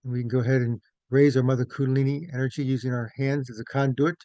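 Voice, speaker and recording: A man leading a guided meditation as instructor, speaking in measured, gentle phrases with pauses. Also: medium pitch, flat delivery, no echo, highs cut off.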